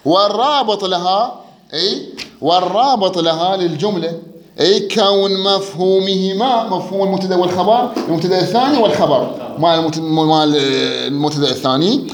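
Fast, high-voiced Iraqi grammar teacher. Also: male voice, slight background noise. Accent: Iraqi Arabic. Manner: drilling students with quick questions, repeating key words. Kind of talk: classroom grammar lesson with student back-and-forth. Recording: echoey classroom.

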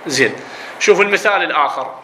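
A man's voice: a little high, lively intonation, racing, bright, forceful tone, roomy sound, a little hiss or hum, low-quality recording. A man with an Iraqi accent teaching a grammar lesson, explaining and quizzing his students, slipping into dialect. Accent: Iraqi Arabic. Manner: explanatory, asks students questions, slips into dialect. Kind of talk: classroom lesson on Arabic grammar.

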